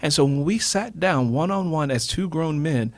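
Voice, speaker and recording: Emphatic storytelling man, slightly high voice. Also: animated, moderate pace, dry recording. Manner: emphatic, repeats phrases for effect. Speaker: interview guest, author. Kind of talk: reflective personal storytelling in a radio conversation.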